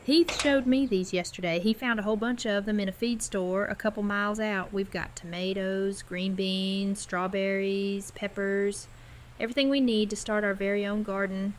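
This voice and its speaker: A woman's voice: mid-range voice, fairly even intonation, normal speed, a little hiss or hum, natural voice. An actress playing a caring, practical young woman in an audio drama, speaking in an informal, plain way. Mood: hopeful.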